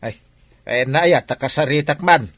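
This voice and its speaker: A man's voice: fairly high pitch, unhurried, fairly bright tone, no room sound. An actor playing an older, anxious, exclaiming father in a radio drama, with an Ilocano accent. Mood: agitated. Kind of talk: Filipino radio drama acting.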